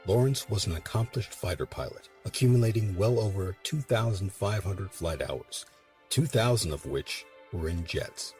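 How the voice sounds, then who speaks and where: A man's voice: sits low, a little mellow. A man reading scripted narration for a history documentary.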